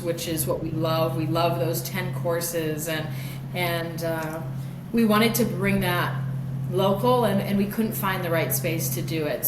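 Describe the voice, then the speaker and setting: Woman chef, enthusiastic, roomy echo, clear background noise. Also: mid-range voice. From Cleveland, Ohio. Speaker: chef and restaurant owner. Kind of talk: interview answers.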